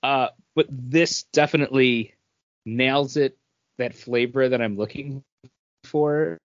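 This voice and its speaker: A man's voice: mid-range voice, slow, no room sound. A man in his forties talks casually, as a co-host does on a podcast. He rambles and doubles back over his phrases. The recording is very dry and a bit muffled. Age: 40s. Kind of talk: casual chat, beer review.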